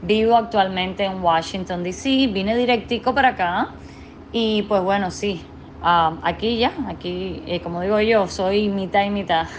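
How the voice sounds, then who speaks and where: A woman's voice: mid-range voice, unhurried, slight background noise. A Cuban woman in her thirties with a Cuban accent, a podcast guest speaking earnestly and dropping in English "so" now and then. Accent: Cuban Spanish. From Cuba. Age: 30s. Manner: earnest, drops English fillers like "so". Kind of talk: podcast interview. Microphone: remote call.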